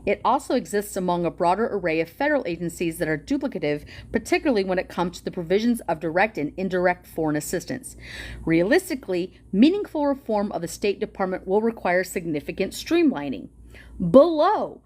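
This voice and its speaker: Loud woman streamer reading stuff out, gets super excited, lively voice jumping around. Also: fairly low pitch, a little fast. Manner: excitable, gasps and squeals at viewer gifts, swears casually. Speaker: livestream host reading a document aloud. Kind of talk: casual livestream chat and reading aloud.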